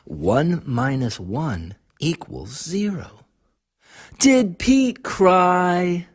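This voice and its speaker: A woman reads a children's storybook aloud in an expressive, deliberate way, putting questions to young listeners. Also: deep voice, husky. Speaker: storybook reader. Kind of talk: children's read-aloud narration.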